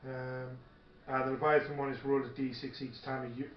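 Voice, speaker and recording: A man's voice: medium pitch, little pitch movement, fairly quick. A man thinking aloud hesitantly as he chats casually while playing a tabletop game, recorded in a room. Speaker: tabletop war game player. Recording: muffled, echoey room recording with faint noise.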